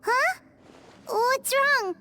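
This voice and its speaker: A woman voicing a tiny, chirpy floating companion in a game, who speaks in an inquisitive, lively way. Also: extremely high pitch, drawn-out words, exaggerated voice. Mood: curious. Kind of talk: game dialogue voice acting.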